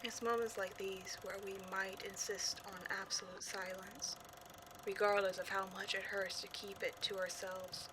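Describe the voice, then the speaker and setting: A woman's voice: medium pitch, flat delivery, normal speed, background noise, natural voice. A woman playing a petty, theatrical ghost from an old horror film in a scripted comedic audio roleplay, mock-sinister and easily exasperated. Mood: hushed, brooding.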